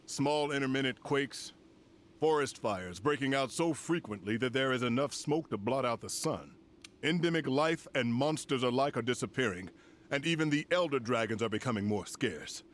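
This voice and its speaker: A man plays a commanding older general in a game cutscene. He speaks in a formal, portentous, measured way at a middling pitch. Background ambience and noise sit clearly behind him. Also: lively intonation, close to an everyday voice. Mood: grave. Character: grave, commanding older general delivering a solemn briefing. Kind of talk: dramatic video game cutscene dialogue.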